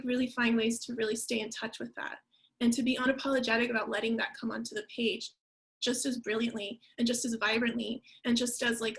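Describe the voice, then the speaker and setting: A woman author speaking earnestly and thoughtfully on a panel, often halting as she reflects. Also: on the high side, creaky. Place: online video event.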